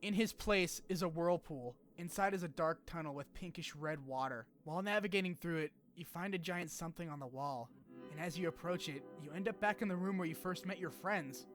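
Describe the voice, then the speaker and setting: A man's voice: a little high, a little fast, fairly bright tone. A man narrating a scripted video essay about a game in an informal, sweary, opinionated way. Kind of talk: scripted game retrospective narration with casual asides. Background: background music.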